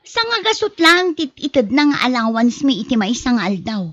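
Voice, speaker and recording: Woman playing high-pitched, plaintive, fed-up wife. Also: very animated, normal speed, a bit bright. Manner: plaintive, nagging. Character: fed-up, aggrieved wife and mother of young children. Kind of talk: amateur audio drama acting. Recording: dull lo-fi podcast audio.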